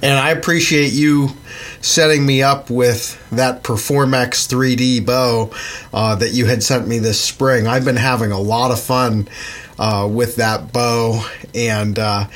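A man's voice: medium pitch, steady tone, measured pace, fairly bright tone. Man, middle-aged, stuffy nose from a summer cold, casual podcast host, rambling and chatty. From Pennsylvania, USA. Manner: rambling, chatty, with many fillers. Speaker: podcast host and magazine editor.

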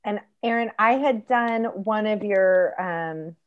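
A woman's voice: medium pitch, slow pace, clear, resonant tone, phone-quality sound. A woman in her forties, a panel guest telling a story in a chatty way, laughing along. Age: 40s. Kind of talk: casual panel chat.

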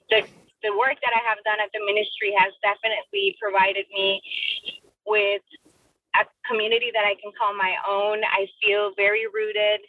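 A woman's voice: mid-range voice, dry recording. Woman on a tinny phone line. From Colombia. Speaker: podcast guest, ministry director. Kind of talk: conversational interview answers. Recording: tinny telephone-like line, distant.

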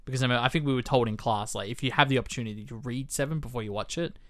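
A man's voice: mid-range voice, very fast, fairly bright tone. A young man co-hosting a film podcast who talks in a chatty, rambling way and often restarts his sentences. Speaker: podcast co-host. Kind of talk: casual film podcast chat.

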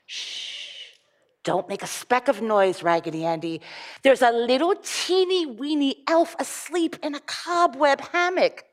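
A woman voicing a gentle, kind rag doll girl with a soft, hushed, caring manner. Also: high voice, measured pace, pitched above the performer's own voice. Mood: gentle, concerned.

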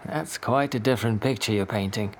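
Casting: man to play conscientious, tired wartime doctor, creaky and understated, for naturalistic audio drama; faint noise behind. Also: fairly low pitch, a little fast, natural voice. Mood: grim. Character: weary, conscientious male Norwegian doctor in 1945.

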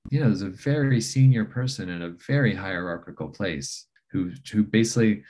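A man's voice: lowish voice, fairly quick, darkish tone. A middle-aged man, a palliative care physician, talking thoughtfully in an interview, with many fillers and restarts. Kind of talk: reflective interview talk.

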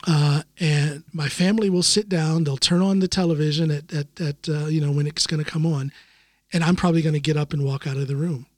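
A man's voice: fairly high pitch, steady tone, a little fast, darkish tone, dry recording, clean studio sound. A man hosting a podcast, talking casually and self-deprecatingly with frequent restarts. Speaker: podcast host. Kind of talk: casual conversation.

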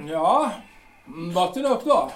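A man's voice: sits high, sing-song, far from the mic, background noise. An actor playing a bitter, sarcastic middle-aged artillery captain who mocks his wife in an audio drama. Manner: mocking, sardonic. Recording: roomy, off-mic sound.

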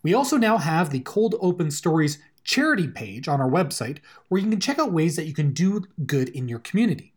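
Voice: medium pitch, very animated, fairly quick, hardly any pauses, a little mellow, breathy edge. An audio drama host delivering a scripted outro with credits, addressing listeners directly.

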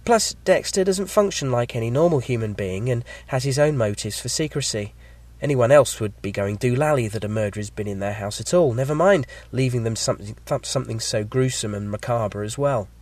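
A man with a slight cold and a croaky voice, hosting a podcast and reading a measured, scripted episode review. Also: medium pitch, expressive, a little mellow, no echo. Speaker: podcast host.

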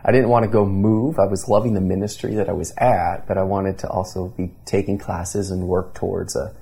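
A man's voice: low-pitched, flat delivery, a little fast, vocal fry, mellow, slightly rough. A man in his thirties from Indiana, a pastor telling his story in an earnest conversational interview, with many restarts. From Indiana, USA.

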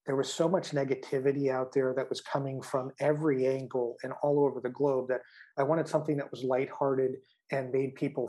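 A man's voice: medium pitch, darkish tone. A middle-aged man from the American Midwest with an American accent, talking casually about himself as a guest author in an interview.

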